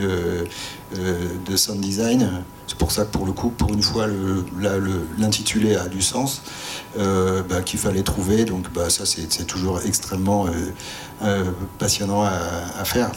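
A fairly low-voiced man speaks as a panel guest over faint background ambience. He is hesitant, often repeating small words as he searches for the next one. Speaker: sound designer and panel guest. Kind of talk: informal panel discussion. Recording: clear ambient background noise.

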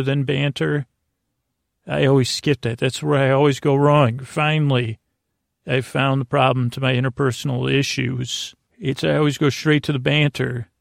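A man hosting a sleep podcast in a rambling bedtime monologue, hesitant and meandering, trailing off into tangents in a gravelly, creaky voice. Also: medium pitch, no echo, studio quality.

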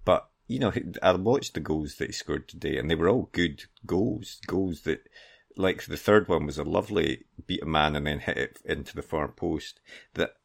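Very deep, creaky-voiced man. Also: normal speed. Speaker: football podcast host. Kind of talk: casual football discussion.

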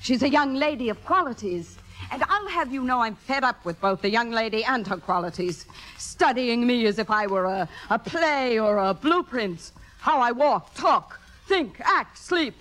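An actress playing a jealous, sharp-tongued stage star in her forties, with theatrical sarcasm, in 1950s radio drama style. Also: on the high side, lively intonation, moderate pace, low-quality recording. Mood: indignant. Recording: 1950s radio broadcast with music.

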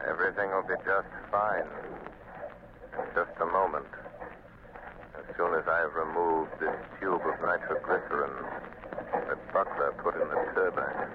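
Loud noise and tinny old radio sound surround a calm, ominous figure. His voice is deep and low, in 1940s radio drama style. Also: unhurried, long pauses.

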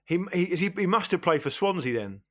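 A man's voice: sits high, big pitch swings, fast, a bit bright. A man chatting casually about football as a podcast panellist.

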